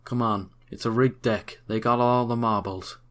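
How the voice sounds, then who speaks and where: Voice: medium pitch, steady tone, normal speed, close to an everyday voice. An actor playing a cynical, impatient young male newsboy with blunt slang in an audio story.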